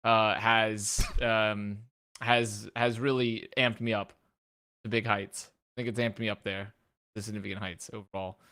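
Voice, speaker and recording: An American man co-hosting a casual podcast chat, joking with dry deadpan bits. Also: on the low side, a little slow. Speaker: podcast co-host.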